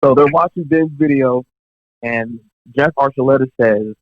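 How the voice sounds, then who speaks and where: A man's voice: mid-range voice, normal speed, no echo. Chatty young man telling stories. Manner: relaxed, chatty, many fillers and asides, chuckles while telling stories. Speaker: professional touring drummer, podcast guest. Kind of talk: casual storytelling in an interview. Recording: dull, dry lo-fi podcast audio.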